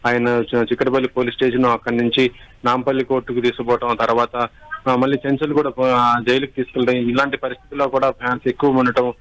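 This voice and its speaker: A man giving a live unscripted news report, halting and repeating himself as he goes. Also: medium pitch, little pitch movement, fairly bright tone, low-quality recording. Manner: halting, repetitive, restarts words.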